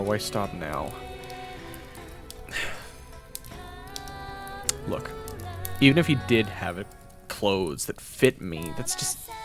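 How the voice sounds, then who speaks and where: A man performing a first-person audio roleplay, narrating and talking to the listener, often stammering and flustered, sometimes whispering and sometimes yelling. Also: deep voice. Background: video game soundtrack music.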